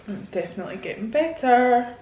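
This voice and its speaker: A woman's voice: on the high side, lively intonation, fairly quick, roomy sound, lo-fi sound. A woman, chatting frankly and casually to her viewers while answering their questions. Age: 20s. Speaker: vlogger. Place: home, indoors. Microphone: camera mic.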